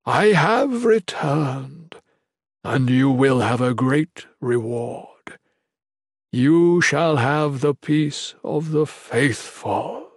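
A man's voice: mid-range voice, animated, very slow, slightly rough, no room sound, clean studio sound, heavily acted. A man voicing a glorious, benevolent god in a fantasy audiobook, making solemn proclamations in a kind, reassuring voice. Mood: solemn benevolence.

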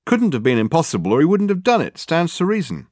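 Man putting on an unimpressed, high-pitched secretary woman. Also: quick pace, no room sound, studio quality. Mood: flatly dismissive. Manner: deadpan, matter-of-fact, colloquial. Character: long-suffering, unimpressed, blunt female secretary. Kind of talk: acted audiobook dialogue.

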